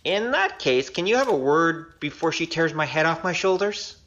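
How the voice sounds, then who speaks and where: A woman's voice: sits low, sing-song, normal speed, fairly bright tone, dull audio. A woman acting in an audio drama as a glib young male gambler, wry and evasive, with hesitant patter. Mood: wry, exasperated.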